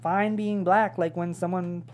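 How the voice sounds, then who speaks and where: Woman reading a dim, low-pitched young gamer dude. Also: fairly even intonation, a little slow, darkish tone, lightly acted. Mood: defensive. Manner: slangy, blunt, clueless. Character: dim, blunt young male gamer, slangy slacker. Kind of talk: table read of a comedy pilot script.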